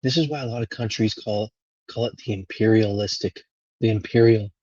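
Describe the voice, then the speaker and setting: A husky man with a fairly low voice hosts a casual livestream. He rambles without a script, halting and letting thoughts trail away. The sound is close, dry and slightly dull. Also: darkish tone, dry recording. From Venezuela. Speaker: live stream host. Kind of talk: casual unscripted livestream monologue.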